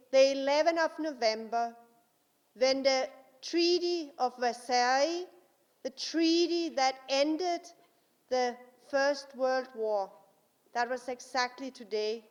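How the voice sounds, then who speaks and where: A woman with a Danish accent gives a formal speech in a large conference hall, her voice fairly high and her delivery slow and careful. Long reverb trails each phrase, and she often pauses with small fillers. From Denmark. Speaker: guest speaker.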